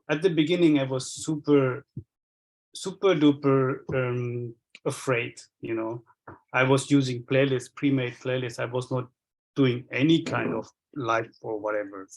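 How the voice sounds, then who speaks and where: A man from Sri Lanka whose first language is Tamil, sharing his experience of energy work in a halting, reflective way with many restarts. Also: mid-range voice, low-quality recording.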